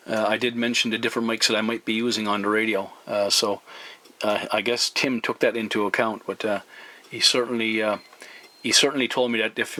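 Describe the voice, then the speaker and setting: A man chatting casually as a CB radio operator, explaining things in a halting way with radio sign-offs. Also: lowish voice, pushed and bright. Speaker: amateur CB radio operator and video host.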